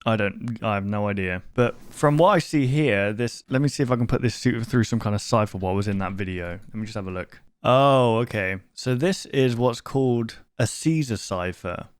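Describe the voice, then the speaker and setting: A man's voice: lowish voice, normal speed, hardly any pauses, clean tone. A man hosting a reaction video, commenting casually and musing aloud in a rambling way.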